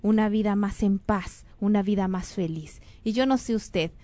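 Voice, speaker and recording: A woman's voice: medium pitch, normal speed, mellow. A Mexican woman hosting a show, talking earnestly and rambling with fillers and self-corrections. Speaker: radio or online show host. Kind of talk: casual spoken show announcements and guest introduction.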